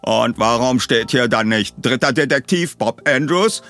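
A man's voice: mid-range voice, a little slow, fairly bright tone, soft background music. A man reading an eccentric, commanding professor in an audiobook, with a pompous, emphatic and bossy manner. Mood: indignant.

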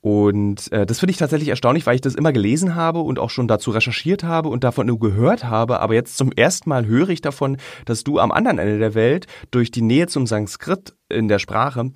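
A man's voice: medium pitch, animated, fairly quick, hardly any pauses, dry recording, clean studio sound. A man hosting a podcast interview, asking long, winding questions and often restarting mid-sentence. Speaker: podcast host. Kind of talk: interview conversation.

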